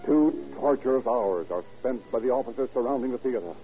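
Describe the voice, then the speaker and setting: A man giving grave, deliberate, dramatic scripted narration for an old-time radio crime show. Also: mid-range voice, lively intonation, music in the background. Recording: muffled vintage radio broadcast.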